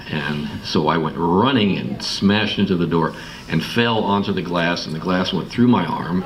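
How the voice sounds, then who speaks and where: A middle-aged man from Massachusetts with an American accent, telling a personal story to listeners in an informal, halting, reflective way. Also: low-pitched. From Massachusetts, United States. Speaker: guest speaker telling his life story. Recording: distant mic, clearly noisy room recording.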